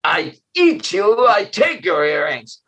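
Huge greedy ogress, slow and gruff, lower than the teller's own husky voice; a man puts her on in a storytelling podcast. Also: big pitch swings, pushed and bright, heavily acted. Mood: greedy, vain. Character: giant greedy child-eating ogress, female.

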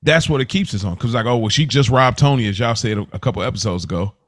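A man's voice: mid-range voice, quick pace, no room sound, studio quality. An American man hosting a casual TV discussion podcast, talking colloquially, thinking aloud and checking in with his listeners. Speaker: podcast host.

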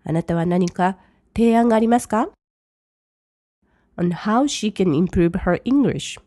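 A woman who teaches English, reading each English line slowly and then translating it briskly. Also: mid-range voice, mellow, dry recording, studio quality. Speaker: language teacher. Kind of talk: bilingual lesson commentary.

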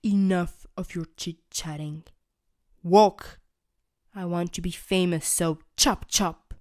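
A woman's voice: deep voice, little pitch movement, slow pace, warm tone. A woman voicing a big, bossy giant who barks orders, in a storybook reading. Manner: barking orders.

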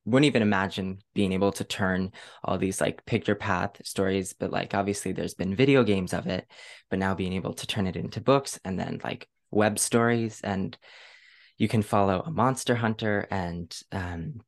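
A man's voice: sits low, normal speed, gravelly. A man hosting a podcast in a casual, chatty interview style, with an enthusiastic, gushing manner. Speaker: podcast host.

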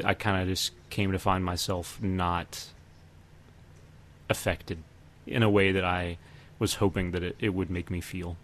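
A man hosting a podcast, casually discussing films and giving his opinions in a measured, hesitant way. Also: low voice, a bit bright. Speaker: podcast host.

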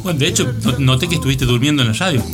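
A man's voice: mid-range voice, rapid-fire. A man with an Argentine accent hosting a radio show in casual chat. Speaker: radio host. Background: loud music.

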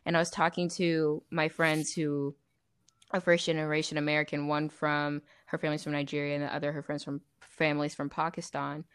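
A young woman with a lowish, smooth, clear voice talks with strong conviction. She speaks in a loose, animated way, leaning hard on the words she cares about and peppering her sentences with fillers. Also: brisk. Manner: animated, emphatic, with frequent "like". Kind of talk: casual discussion.

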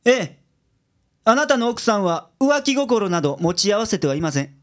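A man playing a brisk, self-assured private detective in a radio drama, speaking in a businesslike, declarative way. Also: sits high, big pitch swings, a bit bright. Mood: firm, admonishing.

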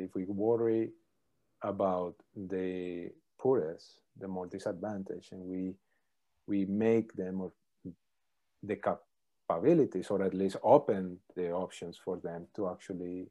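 A middle-aged man, a professor answering interview questions thoughtfully, pausing often with ums. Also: deep voice, warm tone. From Colombia. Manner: thoughtful, hesitant with many ums. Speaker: professor, podcast guest.

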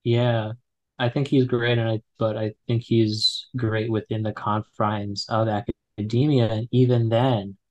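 A man's voice: lowish voice, flat delivery, measured pace, a little mellow, highs cut off. A man in his twenties, a guest in a casual conversation, talking with many fillers and restarts. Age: 20s. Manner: hesitant, full of fillers and restarts. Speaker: podcast guest.

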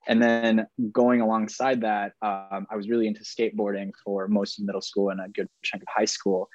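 A man's voice: on the low side, flat delivery, fairly quick, darkish tone, dry recording. A young man from Venezuela, a filmmaker, answering interview questions in an easygoing, self-mocking way. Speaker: guest filmmaker. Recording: dry, dull lo-fi sound.

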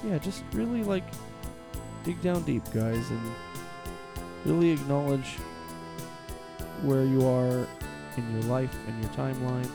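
A man's voice: mid-range voice, measured pace, vocal fry, long pauses, over music. A man co-hosting a podcast, talking bluntly with a lot of swearing and prodding his partner to keep going. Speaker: podcast co-host. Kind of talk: casual chat.

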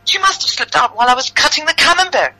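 A woman plays a defiant, disguised outcast in a storybook reading. The voice is sharp and lively, protesting with indignation. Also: sits high, quick pace, pitched above the performer's own voice, exaggerated voice, low-quality recording. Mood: flustered protest. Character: defiant disguised outcast defending their slandered people.

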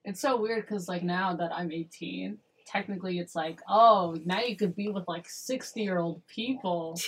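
An eighteen-year-old woman chatting with friends, dramatic and teasing, with quick reactions. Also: lowish voice, slightly rough. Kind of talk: casual chat among friends. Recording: voice a bit far from the mic.

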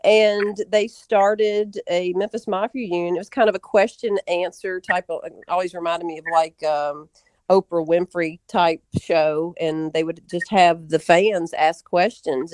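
A woman's voice: on the low side, darkish tone, no room sound. A woman in her forties, an interview guest reminiscing conversationally. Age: 40s.

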